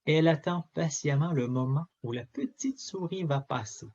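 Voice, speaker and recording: A man reading a children's story aloud in an expressive, theatrical way with emphatic phrasing. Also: fairly high pitch, normal speed, husky, highs cut off. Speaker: storybook reader.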